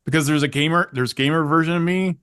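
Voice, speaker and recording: A middle-aged man with a naturally deep voice that he pushes up, chatting in a loose, rambling way as an interviewee. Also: fast talker, smooth, no room sound, clean studio sound. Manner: rambling, animated, self-interrupting. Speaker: channel host and former game company staffer. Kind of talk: casual interview chat.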